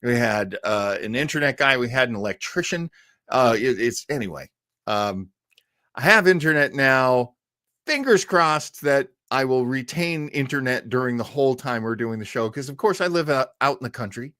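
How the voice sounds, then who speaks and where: Male host, mid-pitched, very lively delivery, casual and self-deprecating, digressive, dry recording. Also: normal speed, dry recording. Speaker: show host. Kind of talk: casual live-stream chat.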